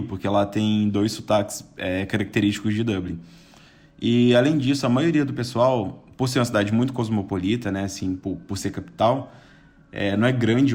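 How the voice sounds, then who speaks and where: A man's voice: medium pitch, a little mellow. A young Brazilian man as a podcast guest, chatting casually with lots of restarts and the odd laugh. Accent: Brazilian Portuguese.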